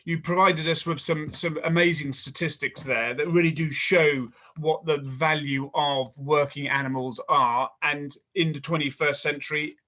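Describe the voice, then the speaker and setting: A man's voice: on the high side, fairly even intonation, normal speed, few pauses, pushed and bright. A man moderating an online panel, thanking speakers and introducing the next presenter politely, with a few restarts.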